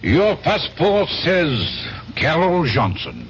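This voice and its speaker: Doubting polite inspector, lively pitch, muffled old radio drama. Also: male voice, slow pace, exaggerated voice. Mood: suspicious. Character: skeptical, courteous French police inspector. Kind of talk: 1970s radio drama acting. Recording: dull, noisy old radio broadcast.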